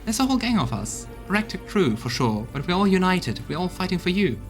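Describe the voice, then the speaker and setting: A blunt, loyal soldier of a fantasy crusade, acted out by a man reading game dialogue, reports in folksy, gossipy terms. The voice sits a little high, with faint music behind it. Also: big pitch swings, brisk. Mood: defiant, bitter. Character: rough-tongued loyal crusade soldier reporting bad news.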